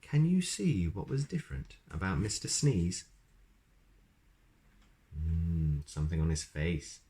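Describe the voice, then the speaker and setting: A man's voice: deep voice, lively intonation, takes it slowly, dark tone. A man reading a children's story aloud expressively, with chatty asides to his listeners.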